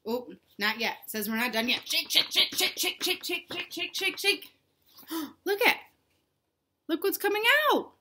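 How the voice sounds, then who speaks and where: Young lady doing a kids read-aloud at home, bright voice, expressive, asks the kids simple questions. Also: sits high, unhurried, a little hiss or hum. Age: young adult. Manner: expressive, simple child-directed talk with questions. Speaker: children's read-aloud host, teacher. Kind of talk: animated picture-book read-aloud for young children. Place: living room at home, indoors.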